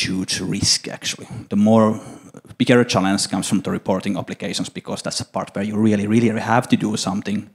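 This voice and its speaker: A middle-aged man with a Finnish accent answers audience questions on a conference stage into a handheld mic, measured and hesitant, with many fillers. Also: low-pitched, gravelly, breathy edge. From Finland. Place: conference stage, large hall.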